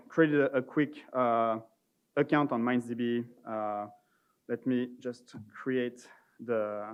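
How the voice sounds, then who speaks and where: A man giving an informal, somewhat halting live product demo as a conference presenter. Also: mid-range voice, clean tone, dull audio.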